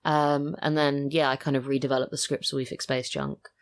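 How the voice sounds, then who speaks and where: British woman with a creaky voice. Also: very deep voice, level tone, fairly quick. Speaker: podcast guest, audio drama writer and voice actor. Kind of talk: casual interview chat. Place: studio.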